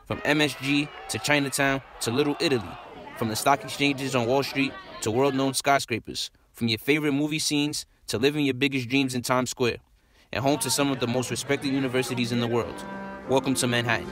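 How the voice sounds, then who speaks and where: A man's voice: mid-range voice, fairly even intonation, brisk, crowd noise. A man reading a scripted documentary voice-over in a proud, upbeat tone. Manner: proud, upbeat city introduction. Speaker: documentary narrator.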